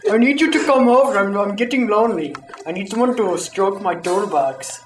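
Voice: medium pitch, fairly quick. A prank phone caller talking in a goofy, profane way. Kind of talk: crude prank phone calls. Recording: echoey lo-fi phone prank recording, music behind.

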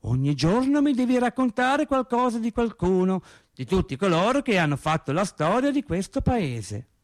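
Man putting on a high, scolding working-class wife. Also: sing-song, moderate pace. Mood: bossy. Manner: challenging, insistent rhetorical questions. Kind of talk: quoted dialogue in a radio theatre monologue.

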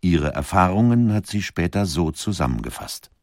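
A man reading scripted documentary narration in a measured, articulate manner. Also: low voice, sing-song, dry recording, studio quality.

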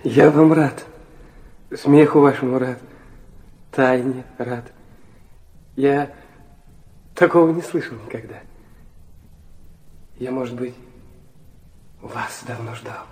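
An actor playing an intense, proud young man weakened by illness, narrating and speaking earnestly and haltingly in a literary audio drama. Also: mid-range voice, steady tone, a little mellow, lightly acted. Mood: fervent. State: weak from illness.

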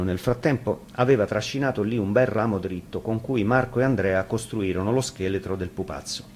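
A man's voice: lowish voice, a little hiss or hum. A man narrating a scripted fairy tale as a dramatic storyteller.

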